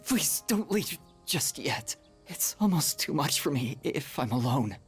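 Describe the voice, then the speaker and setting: A sickly, dying young man in a video game drama. He speaks slowly and wearily, breathy and halting, melancholy and resigned, with music behind him. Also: a little high, very animated, fairly bright tone. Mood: bitter, sorrowful. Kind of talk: English video game dub acting, dramatic monologue.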